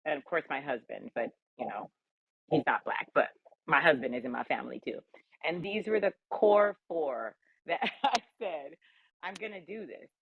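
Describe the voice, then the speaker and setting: An assertive American woman tells personal stories in a candid, emphatic style. Her voice is husky with plenty of fry. It comes through thin, phone-like audio. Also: low voice, expressive, moderate pace. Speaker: round table guest, cannabis advocate. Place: remote audio chatroom call. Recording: roomy, tinny phone-like audio.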